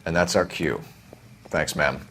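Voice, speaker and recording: An actor playing an earnest, stern young police detective in a 1940s crime game, formal and pressing. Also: male voice, extremely low pitch, a little slow, bright, forceful tone, a little hiss or hum, heavily acted. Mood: businesslike. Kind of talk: dramatic video game acting.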